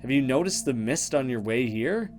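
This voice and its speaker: A man's voice: mid-range voice, expressive, moderate pace, darkish tone, soft background music, natural voice. A man voicing an anxious, easily scared young woman in a visual novel, in an earnest and uneasy manner. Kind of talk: visual novel dialogue read aloud.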